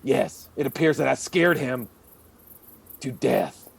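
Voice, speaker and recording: A man's voice: mid-range voice, takes it slowly, creaky, husky, exaggerated voice. A man playing a pompous, melodramatic hero with a lightsaber in a comic radio play, declaiming in mock-heroic style. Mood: solemn.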